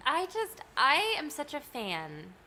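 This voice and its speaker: Bubbly woman with lively bright voice. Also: sits high, slight background noise. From Massachusetts. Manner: bubbly, giggly, enthusiastic. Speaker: singer-songwriter. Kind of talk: casual chat on a livestream.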